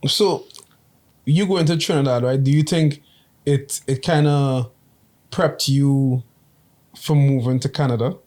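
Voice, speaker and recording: A man's voice: medium pitch, steady tone, a little slow, long pauses, darkish tone. A man hosting a casual interview, talking in slang and often repeating words and saying like. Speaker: host.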